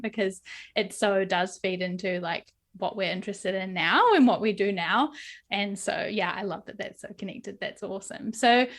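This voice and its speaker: A woman's voice: on the high side, a bit bright. A young adult woman with an Australian accent hosting a podcast interview, upbeat and chatty with frequent "um" and "like". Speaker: podcast host and brand coach.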